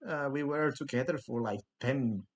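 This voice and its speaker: A man's voice: mid-range voice, moderate pace. Podcast host, a man with Italian as his first language, thoughtful casual chat. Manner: reflective, with frequent restarts.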